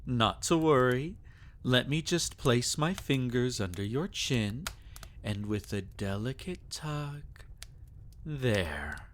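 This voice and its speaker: A sadistic vampire lord, acted by a man in an intimate ASMR roleplay. He speaks slowly and theatrically, with lively rises and falls in pitch. His manner is possessive and taunting, wrapping menace in silky endearments. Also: slight background noise. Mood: seductive, mocking.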